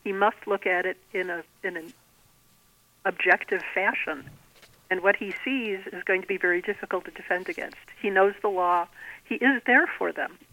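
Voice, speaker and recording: A woman being interviewed as an author, explaining things conversationally in a measured way. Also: medium pitch, sharp tone. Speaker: guest author. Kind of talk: conversational interview about own writing. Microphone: telephone. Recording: thin, band-limited telephone line.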